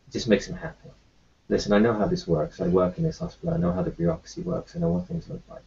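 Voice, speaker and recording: A man with a low, gravelly voice plays his character in a tabletop session, calm and matter-of-fact. His pitch moves a lot as he reassures the others. The recording is dull and lo-fi. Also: fast. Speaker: tabletop roleplay player. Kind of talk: in-character roleplay talk.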